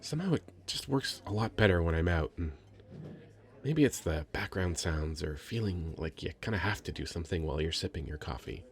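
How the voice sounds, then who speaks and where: A man playing a very shy, sweet young nerdy writer in an ASMR roleplay, hesitant, stammering and soft-spoken. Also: deep voice, expressive, brisk. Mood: warming up, chatty. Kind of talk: ASMR roleplay, addressing the listener.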